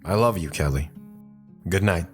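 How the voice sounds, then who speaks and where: A man's voice: sits low, normal speed, faint music behind, close to an everyday voice. A man playing a loving, reassuring father in an audio drama, speaking tenderly to his daughter. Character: loving, reassuring, playful father of a young girl.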